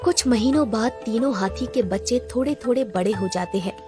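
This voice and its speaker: A woman narrator reads a scripted children's story. Her voice sits fairly high, and music plays behind her. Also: a little fast, a little mellow.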